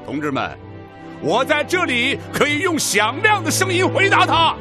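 A man's voice: extremely high pitch, wildly swooping pitch, moderate pace, music in the background, full character voice. A male actor playing a resolute missile institute leader, giving a declamatory, rousing radio drama speech. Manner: declamatory, emphatic.

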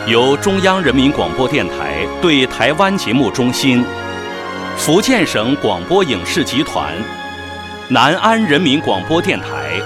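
Slow, highly melodic male radio announcer. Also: wildly swooping pitch. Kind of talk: formal scripted credits reading. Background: background music.

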